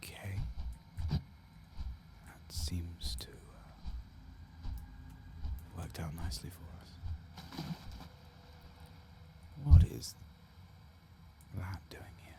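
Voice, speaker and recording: A man giving soft-spoken, often whispered ASMR commentary as he plays a video game, murmuring and musing aloud in an unhurried way. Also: low-pitched, steady tone. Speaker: streamer playing a video game.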